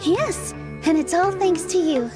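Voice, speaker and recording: Game role: modest, sincere young woman, high voice, slow and reflective. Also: lively intonation. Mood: reflective, grateful. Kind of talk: scripted video game dialogue. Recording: light background music under game dialogue.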